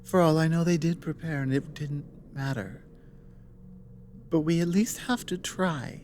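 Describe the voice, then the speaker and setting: Girl playing a dragon lady for asmr roleplay, talks real slow n serious, protective, bit of background noise. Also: deep voice, a little mellow. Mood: determined. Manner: slow, halting, earnest delivery with pauses. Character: female dragon partner, earnest, resolute protective ally. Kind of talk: ASMR roleplay, intimate dramatic monologue.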